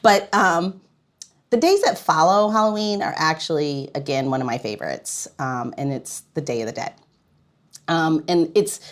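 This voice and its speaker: A woman hosting a podcast in a casual, chatty and playful way, with an expressive delivery. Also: fairly low pitch. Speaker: podcast host.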